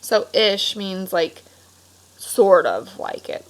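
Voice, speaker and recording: A woman reading a children's picture book aloud expressively, pausing for casual explanatory asides to young listeners. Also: mid-range voice, sing-song, poor audio. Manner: explanatory, stops to clarify words for children. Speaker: read-aloud storyteller.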